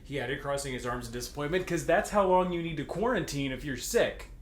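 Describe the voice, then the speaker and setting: A man's voice: a little high, fairly quick. A man reading fan fiction aloud comedically, theatrical in delivery, with joking asides and a readiness to break into laughter. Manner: theatrical, breaks into laughter, bursts into mock shouting. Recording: roomy echo with clear background noise.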